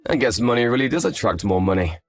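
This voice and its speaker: A man's voice: fairly low pitch, fast talker, no echo, close to an everyday voice. A man playing an earnest, frank young husband in scripted story dialogue, speaking expressively. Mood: chatty, frank.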